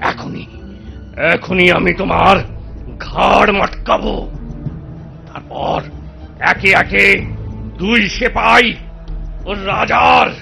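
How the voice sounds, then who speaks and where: A man voicing the vengeful, gloating ghost of a wronged man in a cartoon, gravelly, with slow menacing threats. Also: high voice, sharp tone, soft background music, exaggerated voice. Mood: taunting, menacing. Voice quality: gravelly, creaky. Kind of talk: melodramatic cartoon voice acting.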